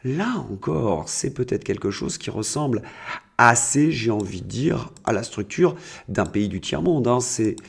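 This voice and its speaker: A man's voice: medium pitch, expressive, measured pace, creaky, breathy edge. A man delivering opinionated political commentary to his viewers, sardonic and emphatic, with rhetorical questions and repeated words. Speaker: political video commentator and host.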